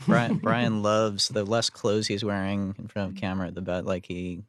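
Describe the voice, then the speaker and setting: A man's voice: lowish voice, little pitch movement, unhurried, a bit bright. A man chatting casually and jokingly as a director on an episode commentary.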